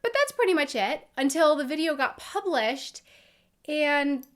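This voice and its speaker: A young woman from the United States with an American accent, chatting casually to camera as a vlogger, expressive and quick to laugh. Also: sits high. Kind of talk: casual vlog talk to camera.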